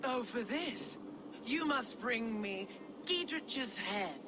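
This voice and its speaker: A woman's voice: a little high, animated, slow pace. An actress playing a haughty, hostile Saxon noblewoman villain in a video game, speaking with scornful, imperious force. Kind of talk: dramatic video game acting. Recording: clear ambient noise behind.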